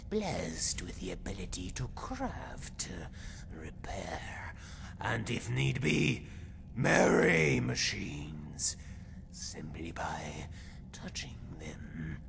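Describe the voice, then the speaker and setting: A self-proclaimed lizard-man hero from a fantasy game. He speaks extremely slowly and pompously, relishing his own boasts. The voice is a croaking, breathy rasp, over clearly noisy game ambience. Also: sits low, big pitch swings, full character voice. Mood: musing. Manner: slow, pompous, theatrical storytelling. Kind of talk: fantasy video game character monologue.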